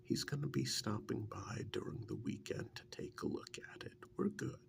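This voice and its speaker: Man acting a gravelly, half-whispering, fondly wry boyfriend. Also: medium pitch. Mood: soothing. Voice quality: gravelly, breathy, often dropping to a whisper. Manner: halting, musing, unhurried. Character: wry, affectionate kidnap victim fondly reminiscing to partner. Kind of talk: soft-spoken intimate ASMR role-play.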